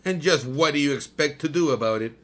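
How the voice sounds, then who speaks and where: A man's voice: on the high side, brisk, close to an everyday voice. An actor playing a haughty, smug male mystic who scorns his enemy, in 1930s-style radio drama acting. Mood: scornful. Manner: mocking, pompous, laughing.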